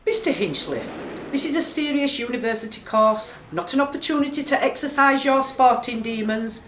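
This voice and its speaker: A woman's voice: fairly high pitch, lively intonation, normal speed, natural voice. A stern female university lecturer, played by an actress, formal and admonishing in a radio play. The sound is roomy, with faint noise behind her. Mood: exasperated. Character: stern, exasperated woman university social work lecturer. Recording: roomy amateur recording, faint background noise.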